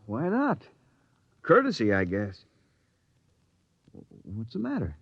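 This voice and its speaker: A man's voice: medium pitch, wildly swooping pitch, takes it slowly, vocal fry, breathy edge, no room sound, full character voice. An actor playing an ordinary young soldier who survived the plague in a 1950s radio drama. He sounds anxious and defensive. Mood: puzzled. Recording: dry, dull vintage radio.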